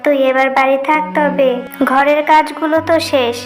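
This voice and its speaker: A woman's voice: high-pitched, little pitch movement, moderate pace, lightly acted. A woman voicing a poor, hardworking animal mother in a cartoon, patient, humble and placating. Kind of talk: cartoon voice acting.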